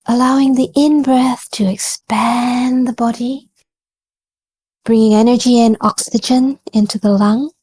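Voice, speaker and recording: A woman leading a guided meditation in a gentle, calm and encouraging manner, leaving pauses between phrases. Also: fairly high pitch, breathy edge, dry recording. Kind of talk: guided body scan meditation.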